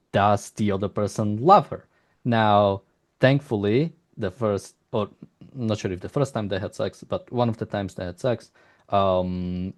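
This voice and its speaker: A man's voice: fairly low pitch, darkish tone. A man interviewing someone, speaking hesitantly in rambling questions with frequent restarts. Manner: hesitant, halting, rambling, often restarts sentences. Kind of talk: unscripted interview, long exploratory questions.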